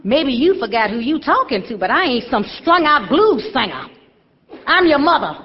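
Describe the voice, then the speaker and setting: A woman's voice: sits high, sing-song, moderate pace, long reverb tail, highs cut off. An actress playing a stern, churchgoing mother who scolds in folksy, emphatic speech. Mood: angry, wounded. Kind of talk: stage drama acting.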